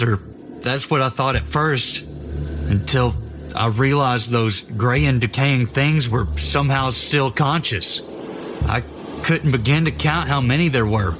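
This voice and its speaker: A man playing a haunted, fanatical young soldier, speaking gravely and deliberately in a dramatic horror audio drama. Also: mid-range voice, a bit bright, natural voice. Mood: haunted awe. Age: young adult. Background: music.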